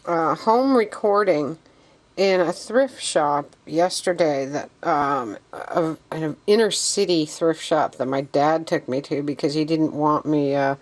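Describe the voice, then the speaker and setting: A woman's voice: sits low, takes it slowly. A woman vlogger chatting casually and informally, gushing about what she shows. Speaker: vlogger showing a thrift find. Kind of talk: casual chat.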